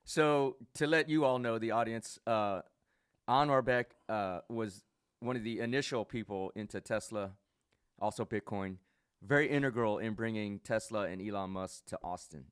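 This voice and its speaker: A chummy male podcast host with a smooth, lowish voice, the sort heard jumping in to introduce an old friend on a long-form business show. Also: moderate pace, dry recording, studio quality. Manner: interjecting, chummy, informal slang. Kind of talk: casual, conversational interviewing.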